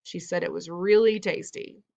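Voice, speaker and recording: A woman's voice: lowish voice, steady tone, moderate pace, gravelly, a little mellow, dull audio. A woman reading aloud and voicing a male restaurant waiter who calls out to the cooks with a sneer. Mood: mocking.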